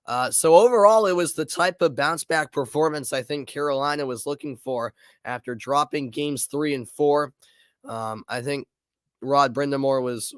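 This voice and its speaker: A man's voice: medium pitch, a bit bright, clear, resonant tone, no room sound, clean studio sound. A man doing live play-by-play intermission commentary in a casual, chatty way, a bit congested with a sore throat. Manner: casual, chatty, frequent fillers and restarts.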